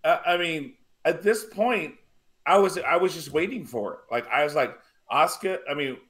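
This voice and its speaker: A man hosting a casual wrestling panel discussion, talking in a halting, conversational way and often repeating himself. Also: a little high, lively intonation, fairly bright tone. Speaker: wrestling podcast host.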